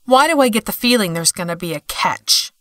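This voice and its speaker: A woman's voice: medium pitch, animated, brisk, bright, forceful tone, no room sound. A woman playing a feisty, sarcastic young girl camper in a fantasy audiobook, blunt, sassy and defiant. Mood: wary sarcasm.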